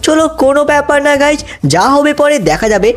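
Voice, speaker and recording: A gameplay narrator telling a cartoon story in an excited, exclamatory way, constantly addressing viewers. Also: sits high, quick pace. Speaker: gaming video narrator playing the lead character.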